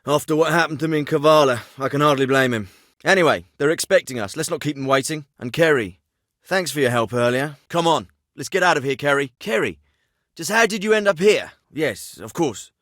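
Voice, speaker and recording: An actor playing a composed, clipped military officer in a video game, dry and commanding. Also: male voice, fairly high pitch, a little fast, fairly bright tone, no room sound, close to an everyday voice. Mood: calm. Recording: dry studio recording.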